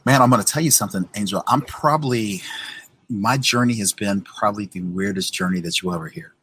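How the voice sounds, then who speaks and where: An American man in his forties with a husky voice, telling his story casually as an interview guest, often checking in with the listener. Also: lowish voice, fairly quick, fairly bright tone, slight background noise. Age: 40s.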